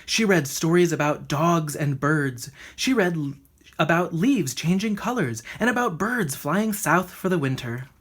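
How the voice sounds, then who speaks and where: A woman narrates a children's story. The voice sits a little low and has a husky texture. The reading is expressive, with plenty of movement up and down. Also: measured pace. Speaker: storybook reader.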